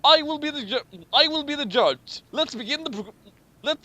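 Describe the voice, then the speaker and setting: A man's voice: extremely high pitch, animated, moderate pace, pushed and bright, voice pushed up, full character voice. A man voicing a pompous president who declares grand verdicts in a declamatory manner. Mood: commanding.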